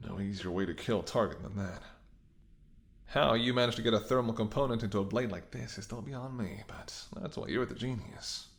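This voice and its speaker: A man's voice: lowish voice, brisk, natural voice. A man playing a menacing, smirking mercenary ex-bodyguard in an audio roleplay, with a taunting, sardonic way of talking. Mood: smug menace. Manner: taunting, sardonic, swearing, drops to whispers. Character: menacing, smirking male mercenary ex-bodyguard holding someone at gunpoint. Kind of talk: dramatic audio roleplay spoken to the listener.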